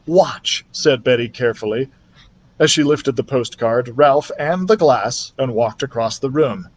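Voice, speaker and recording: A man's voice: medium pitch, normal speed. A man narrating a children's storybook expressively, as a library storyteller reading aloud.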